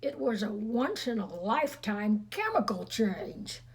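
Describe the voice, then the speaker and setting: A woman reads a children's storybook aloud at an unhurried pace. She is expressive and slips into chatty, teasing remarks to her young listeners. Faint noise sits behind her voice. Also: fairly high pitch.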